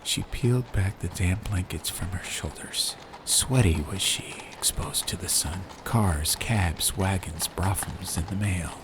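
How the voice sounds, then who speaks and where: A man reading a story as an atmospheric audiobook narrator, in measured short phrases with pauses. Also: low voice. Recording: clear background ambient noise. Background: ambience.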